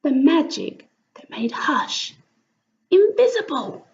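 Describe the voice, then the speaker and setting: A woman reading a picture book aloud as an expressive storyteller, with dramatic emphasis for young listeners. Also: high-pitched, takes it slowly, vocal fry, lo-fi sound. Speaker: children's story reader.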